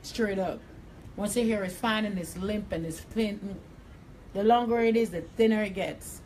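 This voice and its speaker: A woman's voice: medium pitch, normal speed, a little mellow, slight background noise, poor audio. A woman with a Jamaican accent, a hairstylist giving a live tutorial in a salon, talking bluntly and directly. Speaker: hairstylist and educator. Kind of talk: live tutorial while cutting hair. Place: hair salon.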